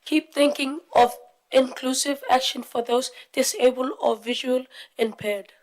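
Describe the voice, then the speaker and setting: A woman's voice: high voice, steady tone, unhurried. A young woman from Fiji reading out her part of a prepared group presentation. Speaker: youth forum presenter.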